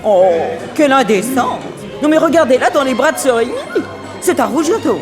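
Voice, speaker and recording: An actress playing a fifty-year-old English society widow and aunt, haughty, effusive, flustered and lovestruck, in a 1960s French radio play. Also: female voice, high voice, expressive, a little fast. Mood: indignant. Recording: old radio drama with dance music and crowd.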